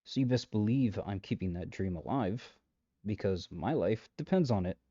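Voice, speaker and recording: Man playing a low-voiced, shady, flippant matchmaker. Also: animated, normal speed, warm tone, no room sound, highs cut off. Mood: defensive, sardonic. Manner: rambling, sarcastic, breezily menacing. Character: shady, flippant male matchmaker coercing a trapped customer. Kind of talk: comedic audio roleplay monologue to the listener.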